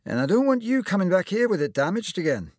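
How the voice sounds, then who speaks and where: A man's voice: high voice, big pitch swings, fast, darkish tone, no echo, clean studio sound, voice pushed up. A man voicing a kindly, encouraging older male surgeon.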